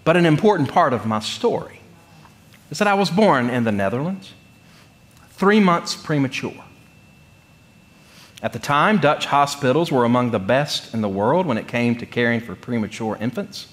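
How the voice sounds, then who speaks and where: A middle-aged man from North Carolina, preaching in a conversational, humorous storytelling way with deliberate pauses. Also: mid-range voice, lively intonation. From North Carolina, United States. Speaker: pastor. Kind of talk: sermon with personal storytelling. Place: church sanctuary, speaking from the pulpit.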